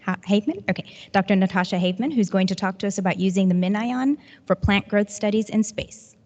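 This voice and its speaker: A woman's voice: medium pitch, fast talker, a little mellow. A woman moderating a conference session, politely asking questions and introducing speakers. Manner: polite, courteous. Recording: dull sound with long hall reverb.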